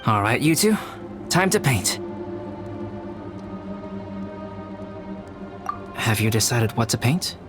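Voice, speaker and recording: A man's voice: mid-range voice, sing-song, moderate pace, natural voice. Anime game role, male actor: courteous young intellectual painter, composed, mid-range voice, formal phrasing. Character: calm, courteous, scholarly young male artist. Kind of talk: anime video game voice acting. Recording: game music behind.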